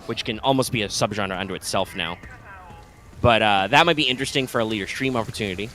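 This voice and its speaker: A man's voice: lowish voice, fast, bright, forceful tone. A man co-hosting a live gaming stream, chatting loosely with lots of "like" and "uh" and the odd swear word. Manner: rambling explainer with frequent "like" and "uh", casual swearing. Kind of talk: casual live-stream chat over gameplay.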